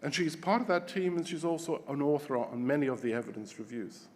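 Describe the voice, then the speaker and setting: A Scottish man giving a formal opening welcome as conference host, with halting restarts and repeated words. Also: a little high, animated. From Scotland. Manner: hesitant, repeats words while searching. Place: large conference hall. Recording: long hall reverb.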